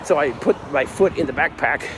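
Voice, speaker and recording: A man's voice: fairly high pitch, a little fast, background noise. A middle-aged American man telling a comic personal story in a casual, rambling, self-deprecating way with frequent asides.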